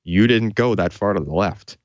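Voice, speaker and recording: Male tech co-host, low register, enthusiastic unscripted explainer, dry and slightly dull sound. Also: moderate pace, no room sound. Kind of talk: unscripted technical explanation, conversational.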